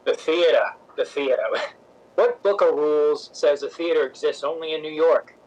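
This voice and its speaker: A man's voice: a little high, fairly even intonation, normal speed, a little mellow, natural voice, low-quality recording. A man acting a brash, opinionated stage director in a 1950s-style radio drama, teasing and emphatic. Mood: passionate lecturing. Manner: wisecracking, emphatic, lecturing.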